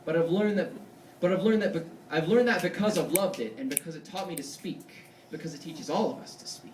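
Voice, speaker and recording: A man performing live slam poetry as a poet on stage, with an emphatic, theatrical, punctuated cadence and deliberate pauses and repetition. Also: fairly high pitch, darkish tone, slight background noise. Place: indoor poetry slam stage before an audience. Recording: echoey live venue, distant mic.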